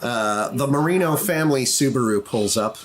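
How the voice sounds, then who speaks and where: A man casually narrating a tabletop game as game master, in a halting, improvised way. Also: medium pitch, animated.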